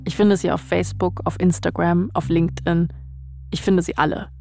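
Female podcast host, low register, creaky edge, narrative yet conversational. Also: flat delivery, moderate pace, warm tone, faint music behind. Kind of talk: scripted podcast narration and casual chat.

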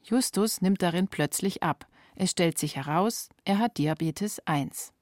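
A woman's voice: fairly low pitch, measured pace, a little mellow, no echo, clean studio sound. A woman reporter reading scripted narration for a radio feature.

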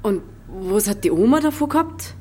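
A woman's voice: mid-range voice, animated, normal speed, a little mellow, breathy edge. An actress playing a defiant, curious young village woman in a radio drama, with a Bavarian accent and a sceptical, wry way of questioning. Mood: sceptical, teasing.

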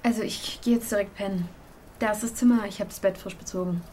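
A woman's voice: mid-range voice, steady tone, fast talker, warm tone, slight background noise, natural voice. Terse, reserved young woman played by an actress. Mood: reserved, tired. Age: young adult. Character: reserved young woman host, weary but obliging. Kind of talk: naturalistic radio drama dialogue.

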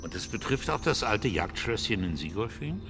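A man's voice: lowish voice, big pitch swings, moderate pace. An actor playing an anxious, evasive man in a radio drama, formal and hesitant as he excuses himself. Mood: anxious, apologetic. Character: anxious, evasive man fussing over his housing. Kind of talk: German radio drama acting. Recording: reverberant hall with music behind.

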